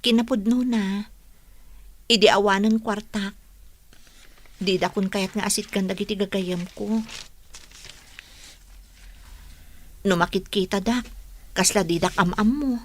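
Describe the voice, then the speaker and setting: A woman's voice: mid-range voice, fairly even intonation, moderate pace, pauses often, a little hiss or hum, lightly acted. A woman playing a troubled but helpful young student and grateful friend in a radio drama.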